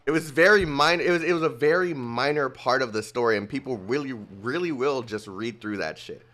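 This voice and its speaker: A young man streaming a game, chatting casually with his viewers in an excitable, rambling way. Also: mid-range voice, fairly quick. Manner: excitable, rambling, talks to chat. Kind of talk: casual livestream commentary.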